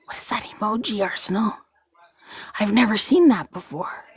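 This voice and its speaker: A woman's voice: medium pitch, lively intonation, normal speed, breathy edge, no room sound. A woman hosting a casual livestream, rambling, laughing a lot and reading out viewers' comments. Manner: giggly, rambling, reads chat aloud. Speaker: livestream host.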